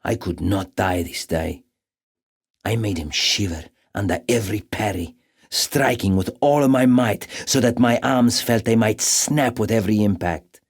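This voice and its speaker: Deep, husky, brooding gladiator. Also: male voice. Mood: grim, fierce. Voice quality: gravelly, husky. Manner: slow, brooding, intense, hushed at times. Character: battle-scarred gladiator champion, lovelorn and fierce, recounting his past. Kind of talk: dramatic first-person monologue for a game.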